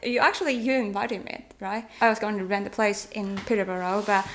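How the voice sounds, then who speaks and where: A woman's voice: mid-range voice, a little fast, slight background noise. The giggly, hesitant thirty-year-old Taiwanese woman you would hear on a lifestyle channel, a native Mandarin speaker casually telling viewers about her life. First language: Mandarin Chinese. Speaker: vlog host. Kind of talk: casual relationship chat.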